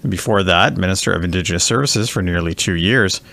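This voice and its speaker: A man's voice: low voice, fairly quick, creaky, a crowd in the background. A man narrating a scripted news report as a reporter.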